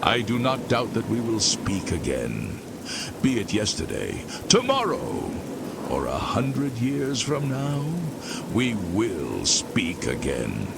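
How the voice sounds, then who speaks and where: An actor playing an ancient, weary former priest damned to an endless abyss, speaking slowly and solemnly. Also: male voice, lowish voice, huge pitch swings, creaky, very noisy, exaggerated voice. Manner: slow, solemn, drawn-out delivery. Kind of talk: game character dialogue. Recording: loud rain and thunder behind.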